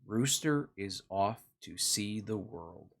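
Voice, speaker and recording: Slow, animated man reading to children. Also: fairly low pitch. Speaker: host of a children's read-along. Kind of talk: slow, expressive picture-book narration for children.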